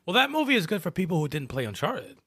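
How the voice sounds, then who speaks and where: A man's voice: sits high, sing-song, fast talker, gravelly, pushed and bright, breathy edge, no echo, studio quality. A man co-hosting a casual gaming podcast, blunt and profane, stammering when he gets heated. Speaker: podcast co-host.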